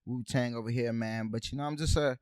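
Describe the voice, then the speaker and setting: A young man from Haiti co-hosting a casual podcast, an animated storyteller who says "bruh" and "man" a lot. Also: medium pitch, fairly quick, smooth, no room sound, studio quality. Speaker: podcast co-host. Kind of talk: casual chat.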